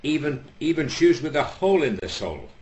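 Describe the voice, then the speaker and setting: A man voicing a shrewd accountant giving slow, emphatic advice. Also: fairly high pitch, very animated, a little mellow, reverberant, voice pushed up. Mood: conspiratorial.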